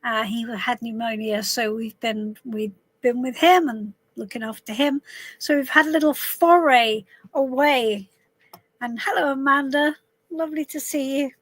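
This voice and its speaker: A middle-aged woman from southwest London, her voice a little husky, chatting casually to viewers on a live stream from inside a camper van, calling people darling. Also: high voice, unhurried, no room sound, rough recording. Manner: chatty, warm greetings to viewers, calls people darling and love. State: a bit husky voice. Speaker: tarot reader hosting a live stream.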